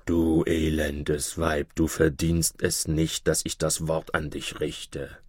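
A man voicing a king who poses as a feeble, scornful sick man, with a weak, broken, halting voice. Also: very deep voice, flat delivery, warm tone, breathy edge, no room sound, studio quality, voice pushed down, exaggerated voice. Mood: contemptuous.

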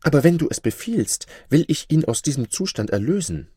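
Mann spielt eine flehende Zauberin mit angehobener Stimme. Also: male voice, on the high side, big pitch swings, a little fast, mellow, breathy edge, dry recording, clean studio sound, voice pushed up. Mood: submissive. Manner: dramatic, devoted, imploring. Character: wicked sorceress, pleading and adoring toward her master.

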